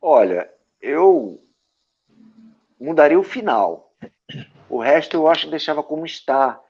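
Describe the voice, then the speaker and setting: Animated Brazilian man telling stories. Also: a little high, measured pace, gravelly, husky. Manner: lively anecdotal, frequent "né?" tags. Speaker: actor and director, guest. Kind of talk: storytelling interview answers. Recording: dull lo-fi audio.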